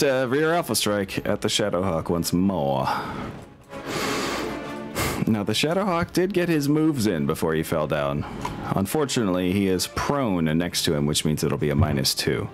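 A man acting as game master, narrating a tabletop war game with wry, mock-formal turns of phrase. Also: low voice. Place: indoors at home, online group call. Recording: music playing behind the voice. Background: music.

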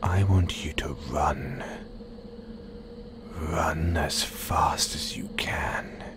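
A man voicing a predatory, possessive werewolf in an intimate audio roleplay, in a breathy, seductive, menacing whisper. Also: a bass voice, very slow, full character voice. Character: predatory, possessive male werewolf stalking his chosen mate.

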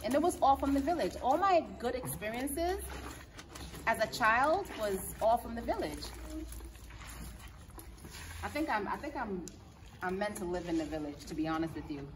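A woman in her thirties chatting casually for her vlog outdoors, laughing often and getting lively when she tells stories. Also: a little high, moderate pace, pauses often. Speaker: vlogger. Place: outdoor courtyard. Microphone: camera mic. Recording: outdoor camera audio with noise. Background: outdoor ambience and animals.

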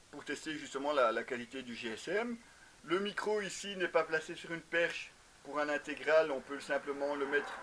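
A man's voice: on the high side, a bit bright, slight background noise, poor audio. French-speaking man reviewing gear, hesitant. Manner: explanatory, frequent "euh" hesitations. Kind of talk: casual product review to camera. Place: indoor garage with shelves.

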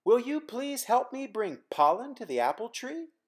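Woman voicing a storybook boy, eager, hopeful, bright, lively pitch. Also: moderate pace. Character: eager young boy named Simon.

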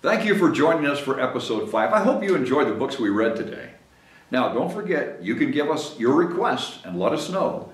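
Older guy in his 60s reading kids books, super animated, echoey room w some noise. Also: medium pitch, far from the mic. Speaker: story time host and mayor. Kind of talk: reading picture books aloud to children. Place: small indoor meeting room. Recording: roomy sound with background noise.

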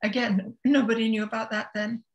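A woman's voice: a little high, level tone, a little fast, lo-fi sound. A woman in her seventies from Scotland, telling her story as an interview guest in a thoughtful, hesitant, conversational way. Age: 70s. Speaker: interview guest, author and therapist.

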